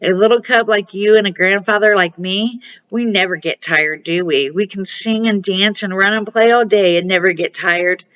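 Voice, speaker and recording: Woman reading as a kindly old grandfather bear, bright-toned. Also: mid-range voice, normal speed, smooth, close to an everyday voice. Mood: playful, reassuring. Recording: dull, muffled home recording.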